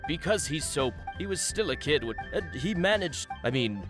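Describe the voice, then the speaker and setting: A fishmonger in a video game. The voice is low for a woman, plain and rather halting in delivery. Background music is clearly heard. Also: lively intonation. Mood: hesitant. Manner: hesitant, halting. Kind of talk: video game character acting. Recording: music behind the voice.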